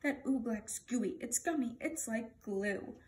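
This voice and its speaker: A woman's voice: a little high, takes it slowly, a little mellow. A woman voicing an earnest, anxious young page boy from a storybook, urgent and exclamatory. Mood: urgent warning.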